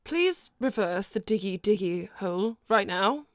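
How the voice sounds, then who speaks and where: A woman playing a proud, formal young cat princess in improvised live roleplay, prim and quick to scold. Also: medium pitch, animated, no echo, clean studio sound, lightly acted. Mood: exasperated.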